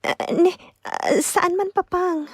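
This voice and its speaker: An actress with an Ilocano accent playing a meek, anxious young wife in a radio drama, hesitant and placating, with stammers. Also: female voice, very high-pitched, fairly even intonation, slow, dry recording. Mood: meek, fearful. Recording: dry studio with background music.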